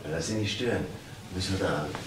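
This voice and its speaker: A man's voice: sits low, a little fast, background noise, lightly acted. A man playing a curt, weary, unfaithful husband in a crime drama, terse and dismissive. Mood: casual, brisk. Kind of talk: dramatic dialogue acting. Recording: very echoey, distant, music behind.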